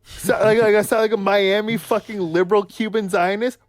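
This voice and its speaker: A man co-hosting a sports talk podcast who argues heatedly and swears constantly, ranting at his brother with forceful conviction. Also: high-pitched, fairly even intonation, normal speed, no room sound. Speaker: podcast co-host.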